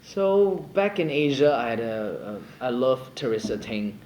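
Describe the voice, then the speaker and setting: A man's voice: medium pitch, big pitch swings, a little slow, darkish tone, slight background noise. A young man from Singapore, a singer chatting casually in an interview, playful and jokey, rambling with many fillers. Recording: roomy echo, faint ambience.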